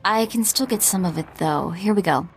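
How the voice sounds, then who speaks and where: A woman's voice: lowish voice, moderate pace, faint music behind, close to an everyday voice, low-quality recording. A woman voicing a determined, grieving bounty hunter's handler in a video game, earnest and urgent in manner.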